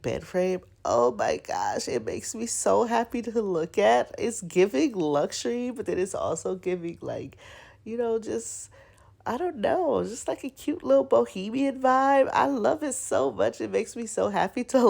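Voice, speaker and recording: A woman's voice: on the low side, vocal fry, a little mellow. A young woman with a stuffy, congested voice, chatting casually over her planner video with lots of fillers. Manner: chatty, rambling, with many fillers. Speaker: planner vlogger.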